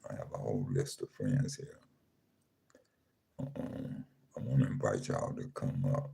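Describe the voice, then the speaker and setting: Male host, very deep dark voice with heavy fry, calm and unhurried, live-room chat. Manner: slow, calm, repeats himself, reads names off a screen. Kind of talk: casual livestream chat, greeting listeners.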